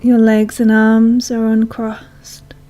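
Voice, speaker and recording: Very slow, soft woman guiding meditation. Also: on the high side. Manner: slow, soothing, hushed, with long pauses. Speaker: meditation guide. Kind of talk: guided meditation. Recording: soft music behind voice. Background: calm meditation music.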